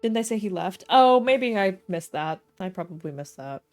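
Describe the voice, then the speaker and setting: A woman's voice: mid-range voice, expressive, moderate pace, soft background music. Woman livestreamer, joking and slangy. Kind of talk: casual game playthrough commentary.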